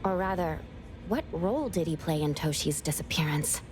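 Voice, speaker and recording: A voice actress playing a composed, analytical young female investigator in a video game, reasoning aloud in a measured, serious way. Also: low voice, animated, over music. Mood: intent, suspicious. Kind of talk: video game character acting.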